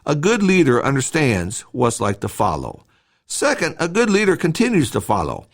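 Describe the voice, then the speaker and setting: A man reads a scripted devotional talk as a church podcast host, in a measured, instructive way with short emphatic phrases. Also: mid-range voice.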